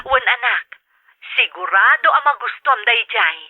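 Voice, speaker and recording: A woman's voice: on the high side, lively intonation, normal speed, phone-quality sound. A woman with an Ilocano accent acting an affectionate mother who works abroad and calls her children, in an audio drama. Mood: fond, cheerful. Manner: fond, motherly.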